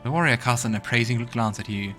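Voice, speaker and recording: A man's voice: mid-range voice, brisk, a bit bright, soft background music. A man hosting a let's play, commenting on the game and reading its text aloud.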